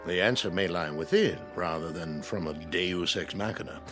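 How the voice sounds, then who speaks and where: An actor playing an intense, cryptic gentleman in a radio play, insistent and insinuating. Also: low-pitched, normal speed, soft background music. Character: intense, cryptic club gentleman arguing speculative ideas.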